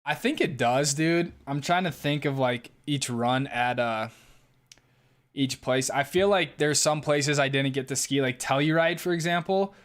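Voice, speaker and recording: A young man hosting a live stream, reading chat and answering in a relaxed, casual way, laughing often and swearing now and then. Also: medium pitch, measured pace. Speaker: livestream host reading chat.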